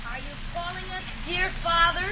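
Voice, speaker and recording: Very high-pitched, prim little child. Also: female voice, steady tone, normal speed. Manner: stilted, formal recitation. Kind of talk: 1940s radio comedy acting. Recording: very noisy, muffled 1940 radio broadcast.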